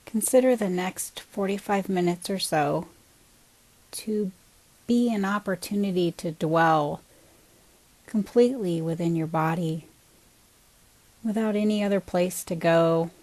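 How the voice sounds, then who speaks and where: A woman guiding a body scan meditation as a teacher, in a calm, gentle, unhurried manner with pauses between short phrases. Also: fairly low pitch, dry recording, rough recording.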